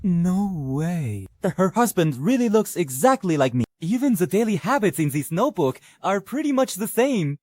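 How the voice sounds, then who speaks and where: A man's voice: sits high, very animated, normal speed, few pauses, darkish tone, no room sound. A man playing a cocky, scheming young actor in an English anime drama dub, with a theatrical, exclamatory manner. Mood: astonished. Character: cocky young male actor posing as a lord, flustered and scheming.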